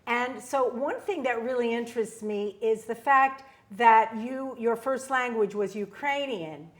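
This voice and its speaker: Woman host, higher voice; curious, encouraging interviewer with long questions. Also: a little slow. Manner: curious, encouraging, backchannels often.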